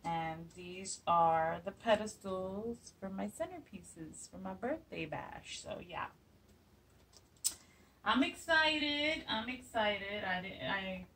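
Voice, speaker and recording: A woman's voice: medium pitch, lively intonation, takes it slowly, a bit bright, slightly rough, far from the mic. A woman in her forties, chatty and upbeat with plenty of fillers, hosting a DIY craft tutorial in her home kitchen. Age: 40s. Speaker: craft channel host. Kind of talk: casual DIY tutorial chat. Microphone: phone camera a few feet away.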